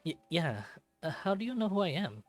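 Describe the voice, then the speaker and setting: A man's voice: high-pitched, big pitch swings, fairly quick, soft background music, pitched above the performer's own voice. A man voicing a shy, jumpy young performer in a visual novel, stammering and awkward. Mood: nervous. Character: shy, jumpy, irritable young male performer in furry story. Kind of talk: visual novel character reading.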